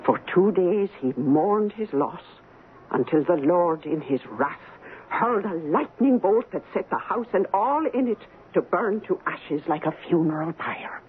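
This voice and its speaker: An actress playing a stern, superstitious Highland Scottish woman in a radio drama, telling dark things in grave, archaic phrases. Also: lively intonation, a little slow. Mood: grim, dramatic. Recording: tinny, noisy 1970s radio recording.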